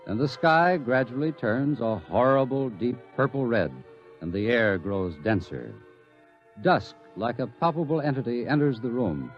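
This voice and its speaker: A man giving solemn, ominous scripted narration for a radio drama in measured phrases. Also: medium pitch. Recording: dull lo-fi, faint music behind.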